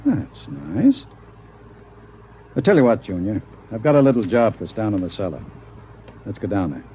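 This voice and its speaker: An actor playing a scheming man who talks in a smooth, coaxing, sinister way in a 1950s radio drama. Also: lowish voice, wildly swooping pitch, moderate pace, warm tone, a little hiss or hum. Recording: lo-fi old radio broadcast.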